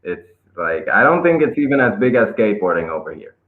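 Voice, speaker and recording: A man's voice: fairly low pitch, big pitch swings, fairly quick. A young man from Israel with an American-tinged Israeli accent, chatting casually as an interview guest, loose and hesitant with lots of fillers. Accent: American-influenced English, Israeli. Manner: laid-back, hesitant with many fillers and restarts. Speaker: guest skateboarder. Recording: tinny phone-line call audio.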